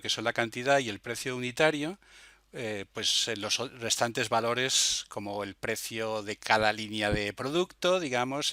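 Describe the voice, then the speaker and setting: A man narrates an informal software demo tutorial, explaining step by step with hesitations and frequent little checking tags. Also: medium pitch, no room sound, studio quality. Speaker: software demonstrator.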